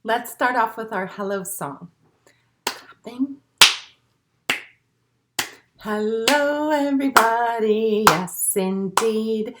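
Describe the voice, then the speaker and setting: A woman's voice: fairly high pitch, very slow, pauses often, very noisy. A woman leading a children's storytime as a librarian, reading picture books and rhymes aloud in simple, encouraging phrases. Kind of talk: animated read-aloud storytime for young children.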